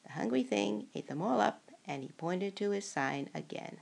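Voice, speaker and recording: Older woman, storybook read-aloud. Very creaky, husky voice, unhurried and swooping in pitch. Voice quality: gravelly, creaky. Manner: slow, expressive, acts out dialogue. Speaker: storybook reader. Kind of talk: animated children's picture-book read-aloud.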